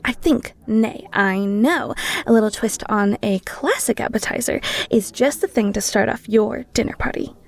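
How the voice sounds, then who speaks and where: A woman's voice: medium pitch, normal speed, gravelly. A woman playing an enthusiastic, flirty cheesemonger in a roleplay audio, chatty and theatrical, talking straight to the listener. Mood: playful, boastful. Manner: playful, theatrical, chatty, with flustered stammers. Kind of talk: roleplay audio drama, one-sided conversation with the listener.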